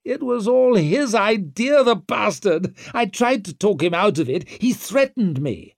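A disgraced nobleman for an audiobook, pitched very high and swooping. He is indignant and wheedling, with a shrill, melodramatic self-pity. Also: animated, a little slow, creaky, dry recording, clean studio sound, heavily acted. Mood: furious. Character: whining, desperate, self-pitying accused nobleman, blustering and shrill.

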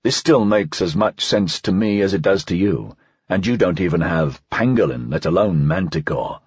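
A man's voice: low voice, expressive, moderate pace, a little mellow, slightly rough, no room sound, highs cut off, pitched below the performer's own voice, exaggerated voice. A man voicing a weary, stern senior prefect in an audiobook, speaking in a terse, dry, formal way. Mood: guarded, curt. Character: weary, stern male senior police prefect, dryly sardonic. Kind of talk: audiobook character dialogue.

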